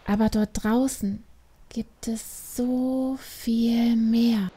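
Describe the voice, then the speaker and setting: Woman doing a young defiant panda girl, fantasy audiobook, emotional, higher voice, takes her time. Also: steady tone, drawn-out words, warm tone, breathy edge, full character voice. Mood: wistful, frustrated. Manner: emotional, reproachful, dramatic. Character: restless, defiant young female panda adventurer. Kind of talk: dramatised fantasy audiobook dialogue.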